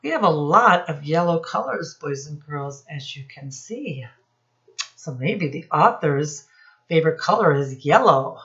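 A woman's voice: low-pitched, expressive, a bit bright, dull audio. A middle-aged woman reading a picture book aloud to young children like a teacher, stopping to point things out and ask questions. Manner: instructive, addresses children directly, pauses to point things out. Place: small room at home, indoors.

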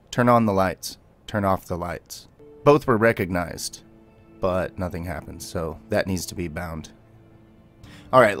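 A man giving a gameplay tutorial, mixing clipped spoken commands with casual explanation. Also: low-pitched. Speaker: tutorial host.

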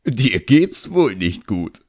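A man's voice: lowish voice, sing-song, takes it slowly, a little mellow, pitched above the performer's own voice, exaggerated voice. A man voicing a cocky ancient djinn in an audiobook, sly and sarcastic, with a smug drawl. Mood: defiant.

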